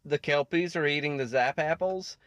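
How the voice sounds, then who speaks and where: A man's voice: fairly high pitch, moderate pace, fairly bright tone, dry recording, dull audio. A man giving casual, rambling reaction commentary as host, often chuckling between asides.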